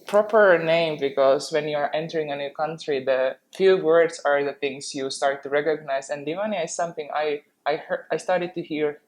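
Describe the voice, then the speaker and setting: A woman's voice: low voice, steady tone, normal speed, few pauses, rough recording. A woman from Finland with a Finnish accent, casually explaining and chatting as a podcast co-host.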